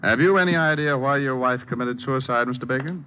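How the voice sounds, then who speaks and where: An actor playing a breezy, cocky, wisecracking private detective in an old-time radio noir drama. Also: male voice, mid-range voice, quick pace, a little hiss or hum, natural voice. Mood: probing. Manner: flippant, teasing, cocky. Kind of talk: 1940s-50s radio noir acting and narration. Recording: muffled old radio recording, faint music.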